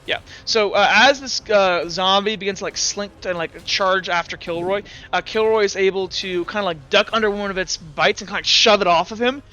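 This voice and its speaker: Male game master, high voice, bright tone, thinks aloud over dice rolls. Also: fairly quick, hardly any pauses, lo-fi sound. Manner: talks through rules and dice aloud, often correcting himself. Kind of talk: narrating and refereeing a tabletop game.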